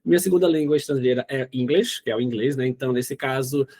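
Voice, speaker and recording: A man's voice: mid-range voice, quick pace, a little mellow. A man in his twenties from Northeast Brazil, chatting casually as an interview guest. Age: 20s. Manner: chatty, often says "né?" and "entendeu?".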